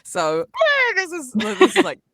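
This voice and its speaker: A woman's voice: high-pitched, wildly swooping pitch, takes it slowly, bright, forceful tone, no room sound. A woman voice actor chatting on a video call, rambling with fillers and frequent giggles. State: vocally tired. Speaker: voice actor guest. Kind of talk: casual video call interview. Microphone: home booth microphone.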